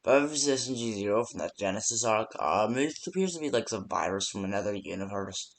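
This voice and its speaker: A man's voice: on the low side, lively intonation, fast. A man giving casual, improvised phone-call voice lines for a game, hesitant and rambling with many ums. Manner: casual, unpolished delivery. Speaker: phone-call guide in a fan horror game.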